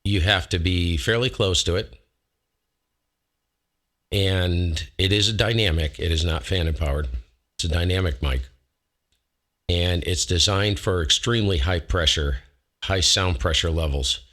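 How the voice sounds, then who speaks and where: Very deep-voiced man, rambling gear demo. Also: a little slow, long pauses, fairly bright tone. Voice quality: low voice. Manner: rambling, self-correcting, folksy. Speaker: ham radio host. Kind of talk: casual equipment demo. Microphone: close dynamic studio mic.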